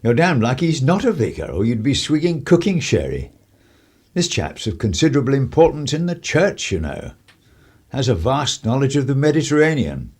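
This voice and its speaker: Actor as an animated, husky English politician. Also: male voice, medium pitch, normal speed. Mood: wry. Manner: wry, clubbable, old-fashioned upper-class phrasing. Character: urbane, worldly 1930s English male politician, wry and wary. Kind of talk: radio play acting.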